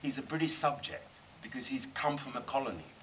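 A middle-aged man speaks on a panel in a cinema screening room. His tone is bright and ringing, and he argues with emphasis, circling back and restarting. A faint hum of the room sits behind him. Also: mid-range voice, a little fast. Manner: thoughtful, emphatic, many restarts. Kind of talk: panel discussion.

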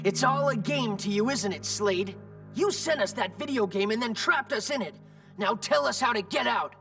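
A man's voice: very high-pitched, moderate pace, vocal fry, a bit bright, far from the mic, soft background music, full character voice. An actor playing a serious, intense teenage boy superhero leader in a video game, terse and commanding. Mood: commanding shout. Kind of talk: video game cutscene acting.

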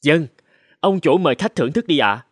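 An actor playing a humble but clever young slave in a cartoon folk tale, deferential and articulate. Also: male voice, on the high side, lively intonation, moderate pace, husky, no room sound, studio quality. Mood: polite. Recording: music behind the voice.

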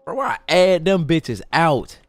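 A man livestreaming a roleplay game, commenting excitedly in boastful, slangy, profane casual talk. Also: on the high side, slow pace, dry recording. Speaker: live game streamer.

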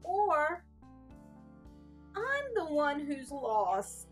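A woman voicing a gloomy, droopy cartoon girl emotion in a children's picture book, mournful and drawn-out. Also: sits high, huge pitch swings, voice pushed up, full character voice. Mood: weary, mournful. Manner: mournful, sighing, drawn-out delivery. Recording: music behind the voice.

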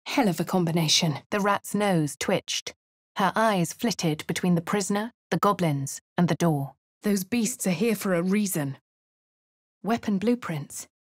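An actress voicing a posh English woman adventurer in a video game, speaking crisply with a dry, self-assured manner. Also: fairly low pitch, a little slow, no echo. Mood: wry. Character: posh young woman adventurer, cool and wry. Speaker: player character. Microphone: close studio mic.

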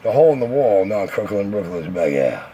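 A man's voice: on the low side, big pitch swings, a little fast, mellow. Male CB operator, swaggering slangy patter, lightly noisy radio recording.

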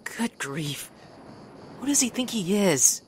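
A woman voicing an excitable, dreamy young boy who is earnest and easily flustered, in a manga dub. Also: mid-range voice, slow pace, a little hiss or hum. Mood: dreamy, musing. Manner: earnest, animated. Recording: loud music under the voice.

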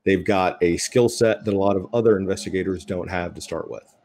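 A man's voice: low voice, brisk, darkish tone, a little hiss or hum. A middle-aged man speaking earnestly as a panelist in a discussion. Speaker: panelist, military veteran and writer. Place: indoor room at home. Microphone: video call.

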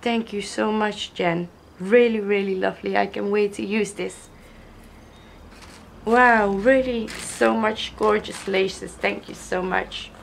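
A young woman, hoarse from a cold, gushing slowly over craft gifts while filming at a table with the camera mic. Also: medium pitch, fairly even intonation, a little hiss or hum. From Netherlands. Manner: gushing, slow, admiring, repeats praise. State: hoarse from illness. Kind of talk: casual unboxing vlog. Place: indoors at a wooden table.

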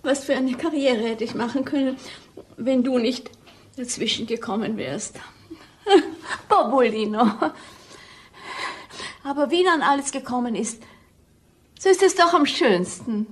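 A woman's voice: high voice, animated, measured pace, pauses often. An actress playing a wistful, doting mother and former singer, theatrical and fond, in a radio drama. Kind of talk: radio crime drama acting.

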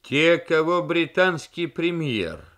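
Man acting an emphatic Soviet negotiator, pitch swinging high. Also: big pitch swings, slow pace, fairly bright tone, exaggerated voice. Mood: indignant, insistent. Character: Soviet diplomat, emphatic male negotiator pressing his argument. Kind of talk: dramatized audiobook reading.

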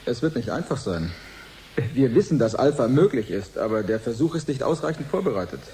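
An actor playing a calm, dutiful scientist being interviewed in a radio drama, sober and matter-of-fact. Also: male voice, mid-range voice, a little fast. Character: calm, dutiful male scientist volunteering for experiment. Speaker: research assistant, scientist.

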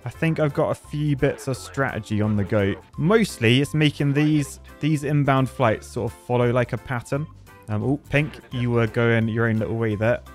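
A British man hosts a gaming video with casual, running commentary. His voice sits at an average pitch, and he talks in a chatty, joking way, reasoning out loud as he plays. Music plays faintly behind him. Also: moderate pace. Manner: jokey, chatty, thinking aloud to the planes. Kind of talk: casual live gameplay commentary.

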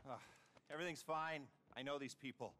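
An actor playing a skeptical, evasive government agent in a game cutscene, dry and dismissive. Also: male voice, fairly high pitch, brisk, sharp tone, a little hiss or hum. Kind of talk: animated game cutscene acting.

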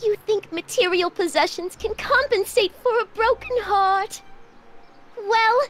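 A woman's voice: very high-pitched, unhurried. A woman playing a melodramatic showgirl as a scorned lover in a video game, theatrical and declamatory. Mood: wounded, accusing. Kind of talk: animated video game acting.

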